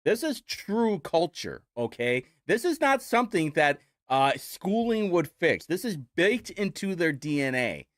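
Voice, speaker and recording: Sarcastic young Midwestern man, lively pitch. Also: measured pace, few pauses, no room sound, studio quality. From Indiana, United States. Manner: sarcastic, mocking. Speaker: host. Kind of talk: casual commentary show.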